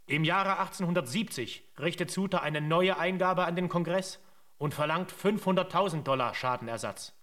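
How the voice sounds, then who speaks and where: A man plays the narrator of a radio drama. His voice sits a little high. He chronicles events in a scripted, matter-of-fact way. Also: moderate pace, a bit bright, lightly acted. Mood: detached.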